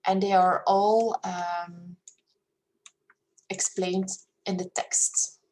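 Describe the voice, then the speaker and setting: A woman from Belgium with a Flemish accent, whose first language is Flemish, reading a spiritual paper aloud in a careful, steady way. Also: on the low side, very slow, poor audio. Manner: careful, steady reading with hesitant fillers when speaking freely. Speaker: reader of a paper. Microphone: screen-share recording.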